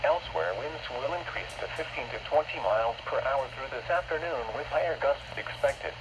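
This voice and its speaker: A man's voice: medium pitch, lively intonation, a little fast. A man reading a formal, impersonal scripted weather bulletin in clipped segments, heard over a weather radio broadcast. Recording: noisy radio reception, static.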